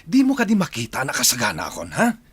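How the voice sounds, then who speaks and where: A man's voice: on the high side, wildly swooping pitch, fast talker, fairly bright tone, breathy edge, exaggerated voice. A man acting a bossy, impatient master of the house in a radio drama, with an Ilocano Filipino accent.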